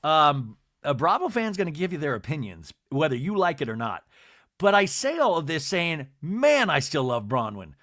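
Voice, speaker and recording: A man hosting a solo podcast that recaps reality TV, talking in a lively, rambling, sarcastic way and swearing now and then. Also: a little high, normal speed, no room sound, studio quality. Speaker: podcast host.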